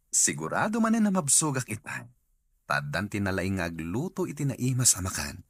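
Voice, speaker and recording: A husky, animated husband and father in an Ilocano radio drama. He sweet-talks and placates with evasive hesitations, on a dry recording. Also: fairly low pitch, normal speed, no echo, heavily acted. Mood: evasive, placating.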